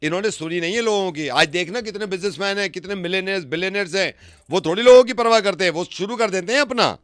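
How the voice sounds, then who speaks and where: A man's voice: high voice, quick pace, a bit bright, dry recording, clean studio sound. A man in a casual phone chat, talking like a buddy, insistent and repeating his phrases to egg the listener on. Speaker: prank caller, radio show host.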